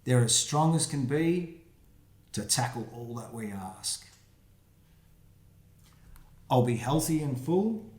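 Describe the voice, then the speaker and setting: A man, the book's author, reading his rhyming picture book aloud for children, with quiet asides about the pictures. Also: medium pitch, animated, takes it slowly, pauses often. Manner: friendly, reads rhyming verse with quiet asides. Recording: roomy echo.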